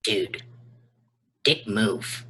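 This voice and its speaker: A man's voice: on the low side, extremely slow, sharp tone, a little hiss or hum, full character voice. A man acting a blunt, protective young friend at a table reading of a stage play. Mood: reproachful. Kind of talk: stage play table read, naturalistic dialogue.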